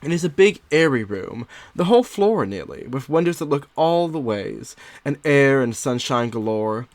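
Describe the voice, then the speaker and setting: Guy reading as a nervy victorian wife sharing secrets with her diary, dramatic audiobook style, drawn-out words, medium pitch for a man. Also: lively intonation, dry recording, lightly acted. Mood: fretful. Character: anxious, confiding young Victorian wife writing a secret diary.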